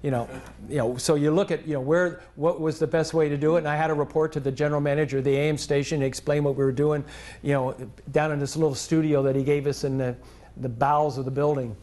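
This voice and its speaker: Older American male, raised pitch, informal conference speaker sharing memories as an industry veteran. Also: steady tone, quick pace, darkish tone.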